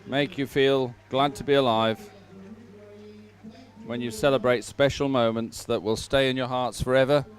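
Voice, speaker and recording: A man presenting live sports commentary, pausing as he goes and adding upbeat, sentimental asides. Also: medium pitch, fairly even intonation. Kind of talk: live commentary on a dragon boat regatta.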